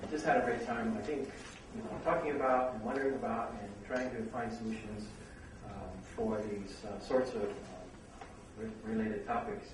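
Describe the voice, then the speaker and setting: A middle-aged man hosts a university seminar, pausing often on ums and uhs. He is heard from a distance in a very echoey room. A steady hiss of noise sits underneath. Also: mid-range voice. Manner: hesitant, full of fillers. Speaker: seminar host, vice dean. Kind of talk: opening remarks at a university seminar. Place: lecture room.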